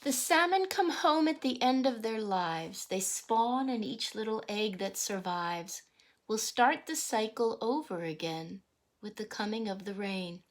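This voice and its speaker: A woman reading a rhyming picture book aloud as a teacher would, deliberately, with explanatory asides and questions for young listeners. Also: mid-range voice, lively intonation.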